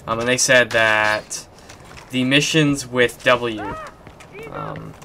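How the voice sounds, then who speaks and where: A hoarse man narrating his gameplay in a casual, rambling way, often correcting himself. His voice is gravelly and frayed at the edges, set against loud game audio. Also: medium pitch, wildly swooping pitch, slow pace, noisy background. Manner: rambling, self-correcting asides. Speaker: gameplay host.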